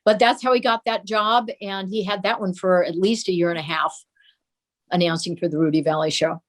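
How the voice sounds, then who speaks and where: An older woman reminiscing conversationally as an interview guest, telling anecdotes in a measured way. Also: on the low side, fairly even intonation. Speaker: interview guest recalling a parent's radio career.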